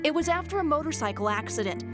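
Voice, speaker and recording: A woman's voice: high voice, lively intonation, fast. A woman reporter reading scripted news narration. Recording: music underneath. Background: music.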